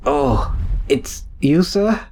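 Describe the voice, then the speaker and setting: A man's voice: a little high, animated, very slow, darkish tone, exaggerated voice. A man playing a loyal, deferential manservant in a radio drama, hesitant and anxious. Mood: wary. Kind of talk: old-time radio drama acting.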